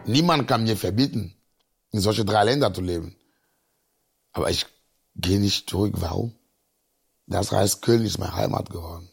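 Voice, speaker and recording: A man's voice: lowish voice, moderate pace, gravelly, pauses often, husky, soft background music. A man from Togo, speaking as a non-native, gives a spontaneous personal account in simple wording. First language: not German. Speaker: interviewee.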